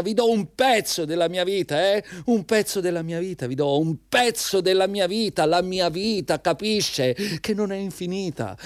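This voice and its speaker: A man's voice: sits high, no room sound, studio quality. An actor in a radio drama playing a proud, jobless father who pleads and argues defiantly, repeating his phrases insistently. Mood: pleading, insistent. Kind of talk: theatrical radio drama dialogue.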